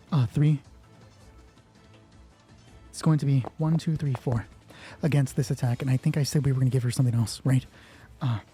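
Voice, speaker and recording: A man narrating a solo tabletop roleplay as game master, improvising and thinking aloud in a halting way while reading out dice. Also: mid-range voice, warm tone, breathy edge.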